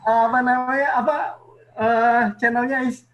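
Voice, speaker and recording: A man's voice: extremely high pitch, flat delivery, a little slow, fairly bright tone, highs cut off. A man hosting a casual podcast interview, acknowledging his guest with frequent "oke"s and fillers. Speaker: podcast host. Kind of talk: casual interview over video call. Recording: faint background noise.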